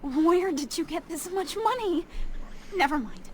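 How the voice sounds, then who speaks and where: An actress voicing an earnest, humble young elf daughter in a fantasy video game. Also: female voice, very high-pitched, expressive, measured pace, a little mellow. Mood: grateful. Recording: clear background noise.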